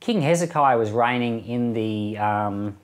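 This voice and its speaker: Middle-aged man with a lively, varied pitch. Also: unhurried. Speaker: pastor, Bible podcast presenter. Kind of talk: Bible reading and informal commentary. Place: small indoor meeting room, seated.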